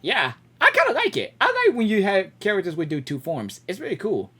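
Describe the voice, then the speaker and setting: Female gaming streamer, casual reactions, extremely lively intonation, repetitive phrasing, game music behind. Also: mid-range voice, brisk, breathy edge. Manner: casual, repeats herself, reacts aloud. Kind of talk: casual live reaction commentary.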